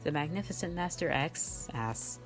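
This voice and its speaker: A woman's voice: sits low, lively intonation, normal speed, soft background music, rough recording. A young woman hosting a casual question-and-answer chat, giggly, rambling and playfully self-mocking. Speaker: online channel host and amateur voice actor.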